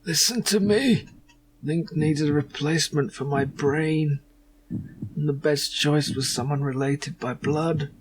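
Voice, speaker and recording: A man's voice: fairly high pitch, slow pace, slightly rough. A man voicing a dying, anguished father in a game, with a strained voice and a grave, melodramatic manner. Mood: anguished warning. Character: dying anguished father, urgently warning his grown son.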